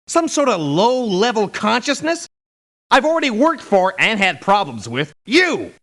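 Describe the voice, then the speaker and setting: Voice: mid-range voice, big pitch swings, measured pace, fairly bright tone. An actor voicing a principled, picky mercenary doctor in a video game, speaking formally and precisely with dry disdain. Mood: stern, disdainful. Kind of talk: video game character voice lines.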